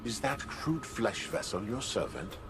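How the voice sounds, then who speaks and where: An actor playing the ancient soul of a haughty court mage in a video game, speaking formally and with archaic condescension. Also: male voice, medium pitch, animated, unhurried, music in the background. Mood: haughty, solemn.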